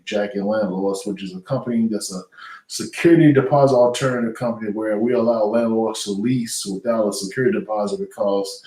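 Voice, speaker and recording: A man's voice: lowish voice, darkish tone. A middle-aged man, a retired boxer now in business, answering interview questions in an earnest, conversational way with frequent fillers and restarts. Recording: echoey room audio, voice from far off the mic.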